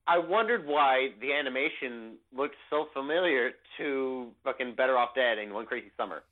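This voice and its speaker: A man's voice: medium pitch, normal speed. A man in his thirties co-hosting a casual podcast, laid-back and joking. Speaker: podcast co-host. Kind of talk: casual chat. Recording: phone-like audio.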